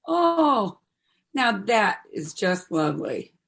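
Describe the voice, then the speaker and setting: A woman acting a gentle, supportive friend in her fifties in a play reading, speaking in a reassuring way. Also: mid-range voice, big pitch swings, takes it slowly, full character voice. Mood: delighted. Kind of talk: play reading, naturalistic dialogue.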